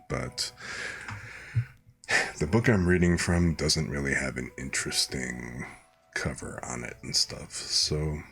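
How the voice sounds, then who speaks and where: A hoarse man hosting a live stream, chatting in a relaxed, rambling, soft-spoken way. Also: rumbling low. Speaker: streamer host. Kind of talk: laid-back stream chat.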